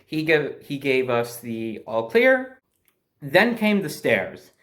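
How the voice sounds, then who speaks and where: A man's voice: fairly high pitch, very animated, slow, a bit bright. Man telling a casual, rambling personal story. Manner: hesitant, self-correcting, backtracking as he recalls.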